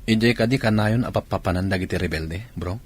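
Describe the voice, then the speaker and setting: A man acting a low-voiced soldier in radio drama. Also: brisk, a little hiss or hum, natural voice. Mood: concerned. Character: male soldier, married, talking with comrades.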